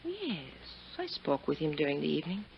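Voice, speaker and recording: An actress playing a proud, volatile Italian countess in 1950s radio drama, haughty and formal in manner. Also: female voice, deep voice, huge pitch swings, a little fast, creaky, husky, exaggerated voice. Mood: guarded, haughty. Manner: haughty, formal, guarded, flaring into outbursts. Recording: dull 1950s radio broadcast recording.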